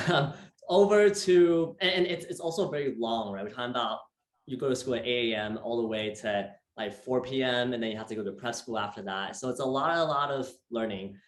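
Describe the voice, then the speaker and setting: A man's voice: medium pitch, a little fast. Upbeat man from Taiwan, roomy sound. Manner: many fillers, repeats words, upbeat. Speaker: host. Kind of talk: casual interview chat. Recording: echoey room.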